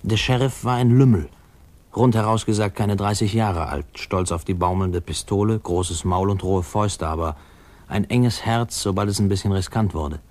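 A man playing a reflective narrator who wryly recalls his boyhood in a 1950s radio play, with musing pauses. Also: on the low side, a little mellow, natural voice. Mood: wry, reminiscing. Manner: wry, musing, pausing.

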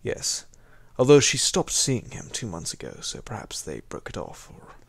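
A man playing an aggrieved, gossipy old butler with a husky, creaky voice and formal, deferential manner in an audio drama. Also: fairly low pitch, wildly swooping pitch, moderate pace, no echo, exaggerated voice. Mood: deferential, confiding.

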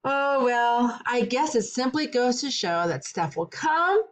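A middle-aged woman, a kindergarten teacher, reading a story aloud and teaching counting to young children in simple, deliberate phrases with questions. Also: on the high side, lively intonation.

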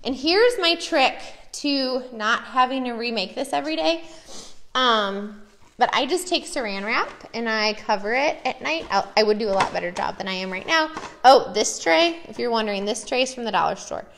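High-voiced, chatty American woman. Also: expressive, moderate pace, fairly bright tone, slight background noise. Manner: chatty, enthusiastic. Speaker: preschool teacher. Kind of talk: casual show-and-tell of classroom activities.

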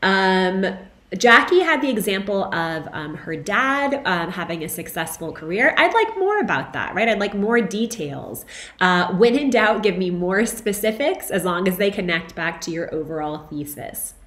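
Hoarse young woman teacher, chatty webcam feedback, echoey room. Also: mid-range voice, sing-song, moderate pace, fairly bright tone. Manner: conversational, frequent "right?" and "um" fillers. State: hoarse from being sick. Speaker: instructor. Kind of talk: recorded lecture feedback to students. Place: small room at home, indoors. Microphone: webcam, video call framing.

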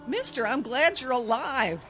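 An actor playing an earnest, flustered, concerned motorist who stammers in a radio drama. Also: male voice, very high-pitched, animated, unhurried, bright, forceful tone, exaggerated voice. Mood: worried.

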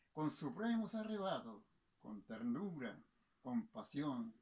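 A male poetry reciter with a fairly high-pitched voice declaims patriotic verse. His delivery is solemn and impassioned, shaped like theatrical oratory with dramatic pauses between phrases. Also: low-quality recording.

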